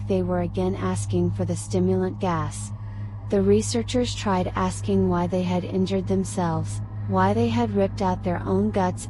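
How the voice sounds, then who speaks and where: A woman narrating a horror story in a steady, measured scripted delivery. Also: mid-range voice, background noise. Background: music.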